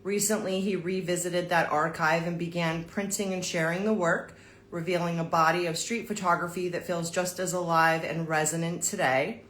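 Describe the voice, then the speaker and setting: A woman hosting a live online interview, upbeat and chatty as she moves between questions. Her voice is bright and forward, pitched a little low for a woman. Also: steady tone, moderate pace, slight background noise. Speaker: host.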